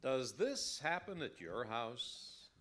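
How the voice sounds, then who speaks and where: An actor playing an earnest, emphatic announcer in an old-time radio advertisement. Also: male voice, mid-range voice, sing-song, slow pace, noisy background. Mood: earnest pitch. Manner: salesmanlike, emphatic. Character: smooth-talking, earnest radio commercial announcer.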